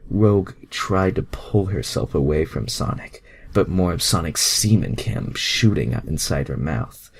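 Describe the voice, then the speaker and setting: A man's voice: low-pitched, lively intonation, unhurried, slightly rough. A man reading fan fiction aloud as an amateur narrator, with sweary, self-mocking, exasperated asides.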